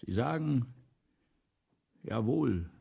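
An actor playing an excitable, domineering, grandiose playwright in a German radio play, breathy and theatrical, often lapsing into whispers. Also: male voice, medium pitch, drawn-out words, dull audio, full character voice. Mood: probing, insistent. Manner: theatrical, pompous, insistent.

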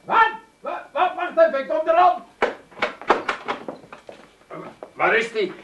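A man playing an excitable, stubborn, put-upon brother in a comic radio drama, stammering and blustering. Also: very high-pitched, moderate pace, dull audio, very noisy. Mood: flustered.

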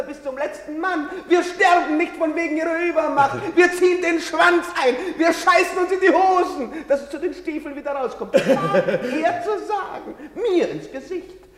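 A jeering, bullying soldier. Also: male voice, very high-pitched, exaggerated voice. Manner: mocking, swaggering, taunting. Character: coarse bullying male soldier, loud and jeering. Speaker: soldier in a stage play. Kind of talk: radio drama acting. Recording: echoey hall reverb.

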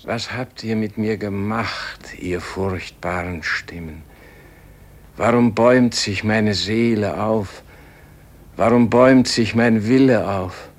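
A man's voice: fairly low pitch, takes it slowly. An actor playing a frail, anguished dying holy man in a poetic radio play, speaking in a solemn, declamatory lament. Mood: anguished questioning.

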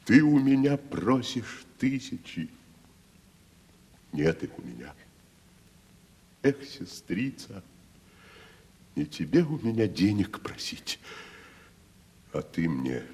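An actor in a radio play voicing a grandiose, tormented middle-aged wandering drunkard, declamatory, with dramatic pauses and drops into whispers. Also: male voice, rumbling low, darkish tone, breathy edge, full character voice. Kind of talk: theatrical Russian radio play acting. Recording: faint hiss of 1970s radio recording.

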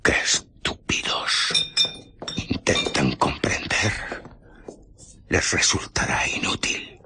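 An actor playing an embittered, furtive invisible scientist who recounts his escape in a theatrical, often hushed radio drama style. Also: takes it slowly, in a whisper, close to an everyday voice. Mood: agitated contempt.

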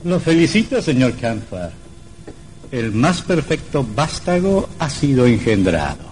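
An actor playing a formal, courteous but sinister doctor with measured speech in an old radio horror drama. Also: male voice, mid-range voice, big pitch swings, background noise. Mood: solemn, congratulatory.

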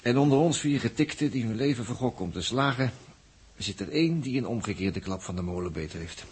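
An actor playing an easygoing, wry spaceship mechanic in a 1960s radio drama, musing aloud in a colloquial, halting way. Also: male voice, medium pitch, lively intonation, natural voice. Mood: earnest. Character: easygoing, wry male spaceship mechanic, philosophizing and self-mocking. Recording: noisy old 1960s radio drama recording.

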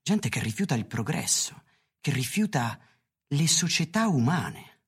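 An actor playing an inquisitive, grave census taker in an Italian radio drama, with a husky voice. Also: medium pitch, big pitch swings, unhurried, heavily acted. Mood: musing. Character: Grant, inquisitive census taker roaming the hills, grave and puzzled.

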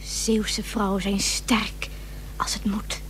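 An actress playing a tender, steadfast young sweetheart in a period radio drama, speaking softly, breathily and intimately. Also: female voice, mid-range voice, little pitch movement, takes it slowly, in a whisper. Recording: noisy old radio recording with hum.

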